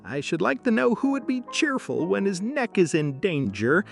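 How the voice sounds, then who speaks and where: A man's voice: sits high, very animated, moderate pace, vocal fry, soft background music, voice pushed up, exaggerated voice. A man voicing an old, dismal, self-pitying cat in a storybook tale. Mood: gloomy, complaining. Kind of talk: storybook character voice.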